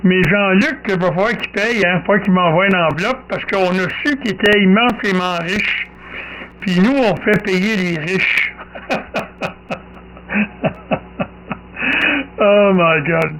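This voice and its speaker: A man's voice: high-pitched, takes it slowly, long pauses, a bit bright, low-quality recording. A man with a Québécois accent, chatting casually as an amateur radio operator and repeating short affirmations. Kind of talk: casual radio contact chat. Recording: faint radio hiss and ambience.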